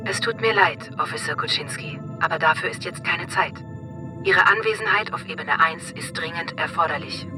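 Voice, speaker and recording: A performer playing a calm, matter-of-fact predictive policing computer in a radio drama, measured and formal. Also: medium pitch, music in the background, natural voice. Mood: polite, calm. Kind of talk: radio drama, system announcements.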